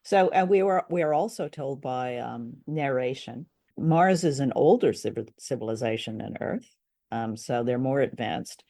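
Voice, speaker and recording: A woman's voice: rumbling low, expressive, moderate pace, mellow. Conversational woman, hesitant co-host. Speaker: co-host of an old-time radio drama discussion show. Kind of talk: casual chat, review commentary.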